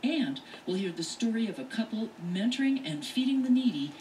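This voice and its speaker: A woman's voice: fairly high pitch, very animated, a little fast, poor audio. Woman announcer over crowd noise. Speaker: radio promo announcer. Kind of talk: scripted radio promo. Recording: radio played through speakers, crowd noise.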